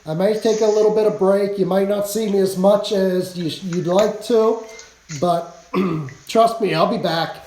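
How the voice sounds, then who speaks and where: A man live streaming and chatting casually with friends, hoarse from losing his voice. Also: high-pitched, measured pace, darkish tone, reverberant, rough recording. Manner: rambling, chatty, laughs often.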